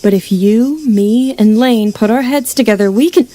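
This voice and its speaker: A woman playing a protective, firm leader of starving runaways in an audio drama, steady and caring. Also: fairly high pitch, moderate pace, no echo, close to an everyday voice. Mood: firm, impatient.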